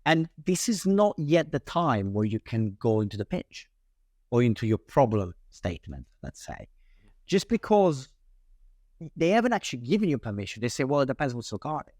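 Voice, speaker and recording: A man's voice: medium pitch, no room sound, clean studio sound. Italian-accented man explaining sales tactics. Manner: animated, talks fast with frequent restarts. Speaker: sales guest. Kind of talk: casual podcast interview.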